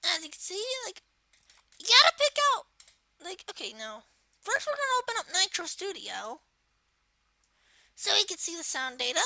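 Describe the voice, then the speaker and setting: A woman voicing a cheeky cartoon villain kid, giving a software tutorial in a casual, halting way with filler words. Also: extremely high pitch, very animated, dry recording, heavily acted. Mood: casual, explaining. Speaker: tutorial presenter.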